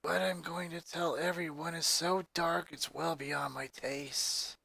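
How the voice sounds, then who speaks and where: A reader doing a hushed, deadpan little goth girl. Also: medium pitch, unhurried, gravelly, dry recording, full character voice, low-quality recording. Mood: somber. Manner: deadpan, hushed, flat delivery. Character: stoic gothic eight-year-old girl, gloomy and deadpan.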